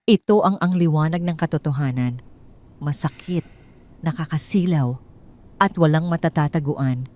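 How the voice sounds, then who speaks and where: A woman narrates a dramatic first-person story in measured, steady tones. Her voice sits a little low. The reading is composed and cool throughout.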